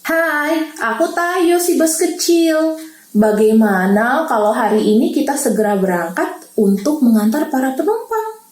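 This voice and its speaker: A woman's voice: high-pitched, expressive, measured pace, a little mellow. A woman doing a chipper little bus boy. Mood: cheerful. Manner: friendly, chipper and sing-song. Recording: roomy echo.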